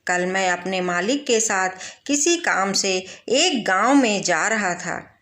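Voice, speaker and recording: A woman's voice: medium pitch, lively intonation, takes it slowly, fairly bright tone. A woman voicing an aggrieved, deferential male servant pleading for justice in a storybook tale. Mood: earnest complaint. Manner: deferential, explanatory. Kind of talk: storybook character voice.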